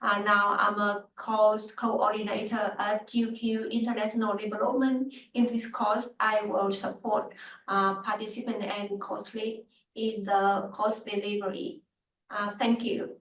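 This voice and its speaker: A woman from Vietnam gives a brief, shy introduction. Her voice is bright but flat, with little rise and fall. She sounds distant from the microphone in an echoey room. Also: medium pitch, a little slow. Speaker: course coordinator.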